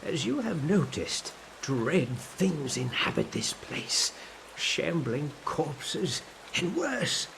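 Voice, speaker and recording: A man voicing a grave, fearful monk in a video game, speaking in a hushed, conspiratorial, archaic and solemn manner. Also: fairly high pitch, very animated, slow pace, gravelly, slight background noise, heavily acted, low-quality recording. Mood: urgent, ominous. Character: fearful, grave old-fashioned monk confiding dark secrets. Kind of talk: dramatic video game voice acting.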